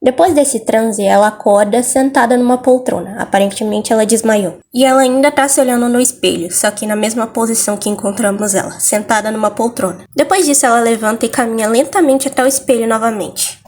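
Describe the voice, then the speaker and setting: A woman's voice: on the high side, brisk, hardly any pauses, darkish tone. A Brazilian woman narrating a scripted video recap and commentary, explaining things with informal asides. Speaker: video essayist.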